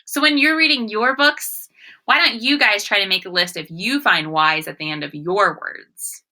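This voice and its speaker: A young adult woman reading aloud and teaching young children, expressive and sing-song, often addressing them with questions. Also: medium pitch, normal speed. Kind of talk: read-aloud story and phonics lesson for children.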